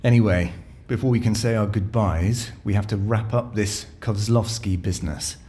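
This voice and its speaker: A man's voice: fairly low pitch, moderate pace, dark tone, natural voice. A man playing a sarcastic, jumpy police investigator in an audio drama, with a hesitant delivery. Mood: businesslike.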